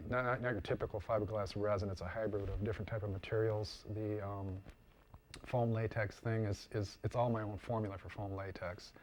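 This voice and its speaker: A man's voice: lowish voice, a little mellow, slight background noise. A man being interviewed as a costume and prop maker, explaining informally and often repeating and rephrasing himself.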